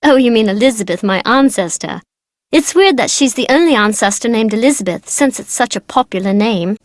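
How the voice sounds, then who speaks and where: A woman voicing a high-pitched, precocious young girl. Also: lively intonation, a little fast, no echo, clean studio sound, close to an everyday voice. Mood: uneasy. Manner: girlish, casual slangy talk with playful teasing. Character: chatty precocious young girl, proud of her aristocratic family tree. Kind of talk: video game character dialogue.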